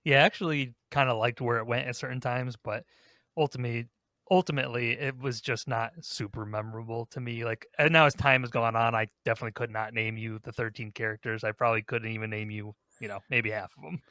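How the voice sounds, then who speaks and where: A man talks as a podcast host in an informal, self-deprecating chat about games. His pitch sits in the middle of the male range. The sound is dry, with no room to it. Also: fairly even intonation, fast, bright, forceful tone, dry recording.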